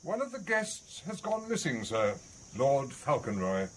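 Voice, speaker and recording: An actor playing a polite, unflappable servant of a rich household in a radio drama, formal and deferential. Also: male voice, medium pitch, huge pitch swings, a little slow. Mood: formal, composed. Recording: clearly noisy, clicks and cricket-like sounds.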